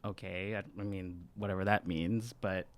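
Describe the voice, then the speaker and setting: A man playing a tabletop game, improvising casually in a laid-back, halting way. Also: low-pitched, fairly even intonation. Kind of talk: casual improvised role play.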